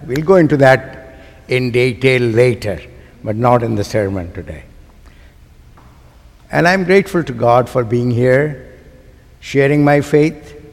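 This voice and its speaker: A man with a Pakistani accent preaching a sermon to a congregation, using emphatic, deliberate phrasing and putting rhetorical questions to his listeners. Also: medium pitch, creaky. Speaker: guest preacher. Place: large church sanctuary. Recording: long hall reverb of a church sanctuary.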